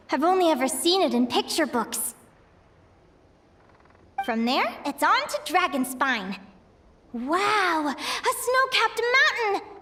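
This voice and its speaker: A woman's voice: very high-pitched, a bit bright, heavily acted. A woman voicing a young adventurer in a game cutscene, eager and full of wonder as she talks to herself. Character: young woman adventurer dreaming of owning a ship.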